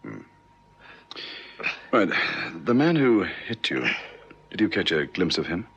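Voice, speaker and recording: A police sergeant from a radio play, calm and methodical. His voice is very deep and creaky, with a lively swing in pitch as he puts short, probing questions. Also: lo-fi sound, exaggerated voice. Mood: methodical questioning. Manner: measured, probing, clipped questioning. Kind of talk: 1970s radio drama acting.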